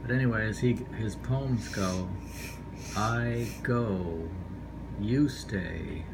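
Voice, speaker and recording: A man reading poetry informally, unhurried and rambling, repeating lines and adding offhand commentary. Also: on the low side, gravelly. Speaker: poetry reader. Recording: noisy room ambience, amateur home recording.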